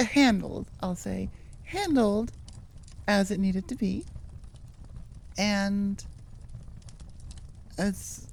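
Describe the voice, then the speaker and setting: A woman's voice: mid-range voice, darkish tone, slight background noise. A woman audio roleplay creator chatting casually to her listeners in a rambling, self-deprecating way. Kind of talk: casual ASMR outro chat.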